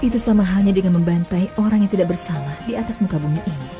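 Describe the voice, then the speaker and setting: Gentle mother camel , voiced by a woman, quick and soft, over a music bed. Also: medium pitch, lightly acted. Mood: calm, earnest. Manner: soft, tender and pleading. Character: gentle motherly female she-camel, calm and devout. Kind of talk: dubbed cartoon acting.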